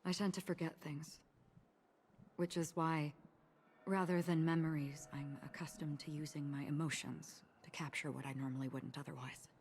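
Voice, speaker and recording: A woman playing a calm, enigmatic wanted wanderer in a video game, cool, measured and reflective. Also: low voice, a little hiss or hum. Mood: calm, probing. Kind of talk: scripted video game dialogue. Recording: game music behind the voice.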